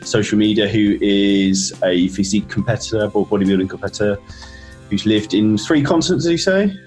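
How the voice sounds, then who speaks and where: A man hosting a fitness podcast, chatting in a friendly, halting way with plenty of fillers. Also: fairly low pitch, darkish tone, soft background music. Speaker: podcast host and fitness coach. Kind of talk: casual podcast interview.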